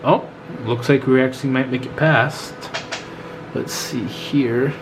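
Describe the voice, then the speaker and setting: A man with a stuffy, congested cold, talking casually through a hands-on computer build in a relaxed, chatty way, with frequent ums and self-corrections. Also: mid-range voice, unhurried, background noise. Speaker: YouTube tech vlogger. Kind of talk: casual hands-on tech vlog narration.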